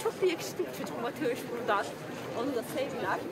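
A young woman in her twenties chatting excitedly to viewers, filming herself at arm's length at an outdoor Christmas market at night. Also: a little high, fast, heavy background noise. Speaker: vlogger. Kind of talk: casual travel vlog. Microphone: handheld camera held at arm's length. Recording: loud music and crowd outdoors.

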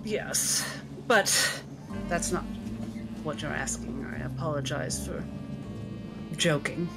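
A woman playing a courteous, wry female doctor who speaks politely and with gentle hesitation. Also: low voice, takes it slowly, loud music behind the voice. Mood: grave, wry.

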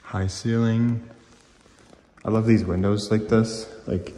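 A man's voice: fairly low pitch, steady tone, slow, warm tone. A man getting over a cold gives informal, awed walkthrough commentary as he explores empty rooms with a handheld camera. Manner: informal, awed, mildly sweary. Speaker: urban exploration vlogger. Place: inside empty rooms of a large abandoned house.

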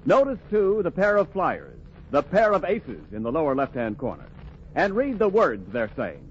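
A man's voice: on the high side, wildly swooping pitch, moderate pace, a little hiss or hum. A man announcing a scripted 1940s radio commercial, emphatic and declamatory. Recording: dull 1940s radio recording.

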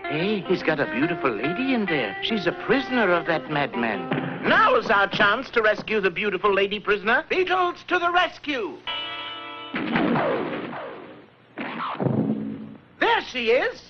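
An actor playing a cocky, bossy young British pop-band leader in a cartoon, with a brisk, sardonic manner. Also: male voice, high-pitched, sing-song. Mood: urgent, heroic. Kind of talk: 1960s cartoon voice acting. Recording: dull lo-fi old VHS cartoon soundtrack. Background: music.

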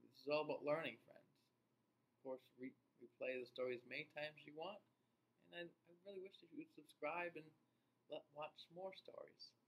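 A man in his forties reading a children's book aloud and speaking deliberately to young viewers. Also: fairly high pitch, steady tone, slight background noise, rough recording. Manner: slow, deliberate, child-directed delivery. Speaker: children's read-aloud host. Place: small indoor room.

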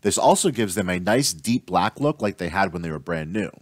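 Man with a lively, dry voice-over. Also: sits low, brisk, dry recording. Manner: steady instructional delivery. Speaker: outdoors channel host. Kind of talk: scripted voice-over tutorial.

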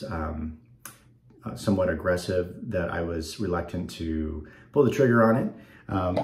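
A man's voice: sits low, gravelly, a little mellow. Stuffy-nosed man chatting in bathroom. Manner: rambling, many ums, easygoing. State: slightly stuffed up. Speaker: wet shaving channel host. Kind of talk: casual talk to camera. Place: small bathroom at home, indoors. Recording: echoey bathroom.

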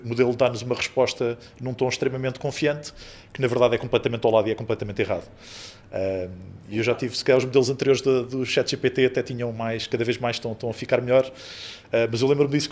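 A man's voice: mid-range voice, darkish tone. A man in his thirties hosting a podcast, asking questions with lots of hedging fillers. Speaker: podcast host. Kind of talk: conversational interview questions. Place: podcast studio room with sofas. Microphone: studio mic on boom arm.

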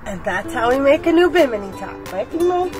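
A woman's voice: high-pitched, very animated, a little fast. A young woman hosting a sailing DIY vlog, chatty and upbeat, often laughing. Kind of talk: casual DIY vlog narration. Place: inside a sailboat cabin. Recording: music playing behind her voice.

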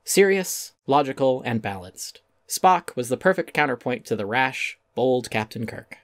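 A man's voice: mid-range voice, lively intonation, unhurried, dry recording, studio quality. A man giving an articulate, emphatic and wry scripted reading of an essay as its narrator.

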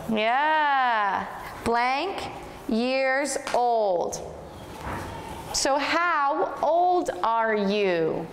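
A woman in her twenties from the United States teaching English to young children, patient and encouraging, often praising. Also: high voice. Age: 20s. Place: classroom in front of a whiteboard. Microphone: far camera. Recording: echoey room with long reverb and noise.

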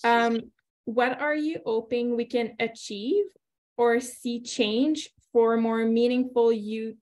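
A woman's voice: fairly high pitch, steady tone, slow pace, a little mellow. A young woman moderating an online panel discussion over a video call, speaking through an earphone mic.

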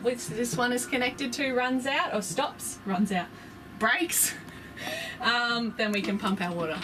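A woman's voice: a little high, low-quality recording. A woman, chatting casually and upbeat as she walks through the cabin of a sailboat, explaining her gear with frequent ums. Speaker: vlogger giving a boat tour. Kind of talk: casual walkthrough vlog. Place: small cabin of a sailboat, indoors. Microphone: handheld camera close by.

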